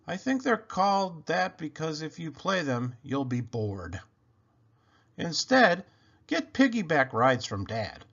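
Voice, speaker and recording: Animated man reading a children's book aloud. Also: a little high, takes it slowly, creaky. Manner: animated, playful emphasis. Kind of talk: expressive read-aloud of a children's picture book. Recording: dull, lo-fi sound.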